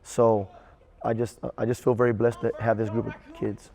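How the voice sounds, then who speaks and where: A man who directs student theater, giving halting interview answers and repeating phrases as he goes. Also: on the low side, flat delivery, creaky, a little mellow.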